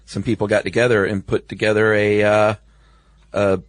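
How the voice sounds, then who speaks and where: A man's voice: lowish voice, level tone, normal speed, no room sound. A man co-hosting a podcast in casual, laid-back chat with a wry streak. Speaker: podcast co-host.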